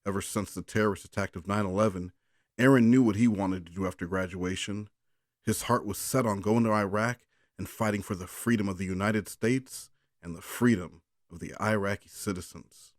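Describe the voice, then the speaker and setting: Low-voiced man in a dry close recording. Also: fairly even intonation, moderate pace, no echo. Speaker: bedtime story reader on a call. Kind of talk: casual chat and amateur story reading. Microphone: phone on a call.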